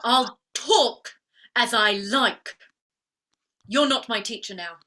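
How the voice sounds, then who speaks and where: A woman's voice: on the high side, slow pace, bright, forceful tone. A woman playing a proud, wounded young flower girl turned lady in a theatrical play reading, defiant and plaintive. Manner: defiant, plaintive, emotionally outspoken.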